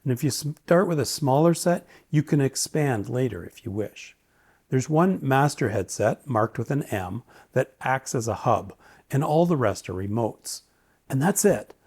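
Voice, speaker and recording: A man's voice: medium pitch, lively intonation, a little slow, darkish tone, breathy edge. A man giving a casual, opinionated, lightly joking product review. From Canada. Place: indoors, home study.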